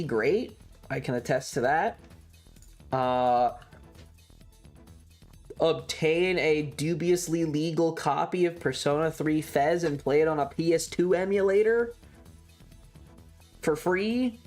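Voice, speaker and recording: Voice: medium pitch, darkish tone. A streamer chatting casually and opinionatedly on a livestream, rambling and repeating points, with some casual swearing. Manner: casually profane. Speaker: variety streamer.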